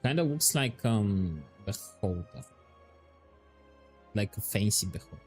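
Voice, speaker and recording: A man streaming a game, chatting casually and musing aloud, his voice turning hoarse and scratchy. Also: fairly low pitch, faint music behind. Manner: relaxed, musing aloud, drawn-out words. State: voice going hoarse, scratchy throat. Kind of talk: casual livestream chat while gaming.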